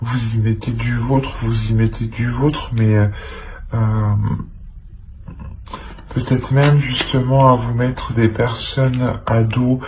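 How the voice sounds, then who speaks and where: A man's voice: medium pitch, flat delivery, takes it slowly, noisy background. A man giving an improvised tarot reading to the viewer, speaking hesitantly and often repeating his phrases. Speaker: tarot reader.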